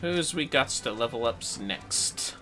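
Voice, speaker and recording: A man livestreaming a game in casual chat, speaking in a low-key way, half muttering to himself and to viewers. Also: lively intonation, takes it slowly, bright, forceful tone, faint music behind.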